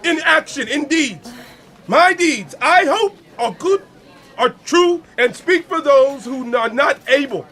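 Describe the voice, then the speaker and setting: A man's voice: extremely high pitch, expressive, takes it slowly, pushed and bright, full character voice. An actor playing a zealous, pompous older father and moral crusader in an audio drama, speaking in an oratorical way. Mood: impassioned, anguished.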